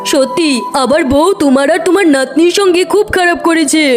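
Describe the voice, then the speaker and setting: A woman's voice: very high-pitched, a little fast, faint music behind. A woman playing an earnest cartoon fox husband, reasoning and pleading in a conciliatory way. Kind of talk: dramatic cartoon voice acting.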